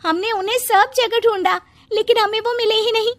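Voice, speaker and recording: A woman voicing a worried but bold village mother in a cartoon story, emphatic and expressive. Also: very high-pitched, normal speed, dry recording.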